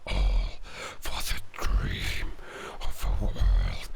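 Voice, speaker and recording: A man voicing a weary, melancholic dying werewolf in an audio drama, speaking slowly and solemnly in a gravelly, breathy growl. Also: extremely low pitch, very animated, in a whisper, full character voice. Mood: bitter, melancholic. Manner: slow, solemn, poetic. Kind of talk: slow dramatic audio roleplay monologue.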